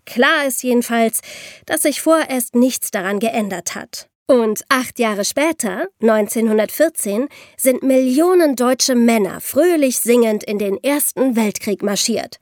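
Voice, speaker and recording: Female history podcast co-host, slightly high pitch, clear emphatic explainer, very dry recording. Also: lively intonation, measured pace, a little mellow, dry recording.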